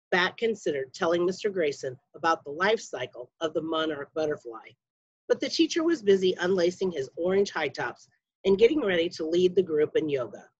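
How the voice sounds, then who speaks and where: Lively woman reading a children's book. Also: fairly low pitch, breathy edge, no room sound, lo-fi sound. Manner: expressive storytelling with lifted voices for dialogue. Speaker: school media specialist reading aloud. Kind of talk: children's book read-aloud.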